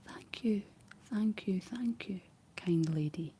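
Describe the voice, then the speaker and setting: A woman whispering the lines of a kindly farm wife, pitched a little higher and pleading. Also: moderate pace, warm tone, a little hiss or hum, close to an everyday voice. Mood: joyful.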